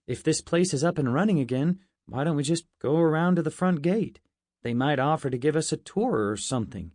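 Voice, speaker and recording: A man's voice: a little high, lively intonation, brisk, darkish tone, no room sound, studio quality, pitched above the performer's own voice. A man voicing the wisecracking boy hero of a comic story, blunt and sarcastic. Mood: suggesting.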